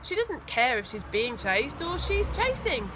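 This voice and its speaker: A woman reading a children's story aloud with expressive, dramatic emphasis. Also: high voice, measured pace, background noise. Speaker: school teaching assistant reading aloud.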